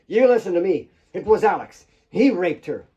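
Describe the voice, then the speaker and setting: A man voicing a brutal, lying, desperate man in an audiobook, with a forceful, snarling delivery. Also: high voice, very animated, normal speed, highs cut off, pitched above the performer's own voice. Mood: angry, defensive.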